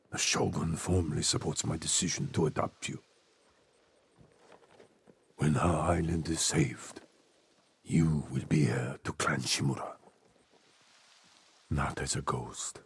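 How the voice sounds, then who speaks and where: A man acting a stern, dignified middle-aged samurai lord in a game cutscene, speaking formally with measured command. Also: extremely low pitch, slightly rough, full character voice.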